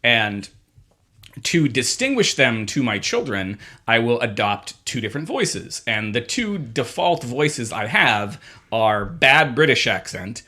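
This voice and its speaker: A man in his thirties co-hosting a casual comedy podcast, talking in a rambling, halting way full of fillers and restarts. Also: mid-range voice, big pitch swings, sharp tone. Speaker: podcast co-host.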